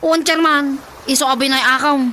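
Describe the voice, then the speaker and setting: A woman's voice: high-pitched, fairly even intonation, brisk, pushed and bright, a little hiss or hum. An actress playing a complaining village woman in an Ilocano radio drama comedy, with an Ilocano accent and music underneath.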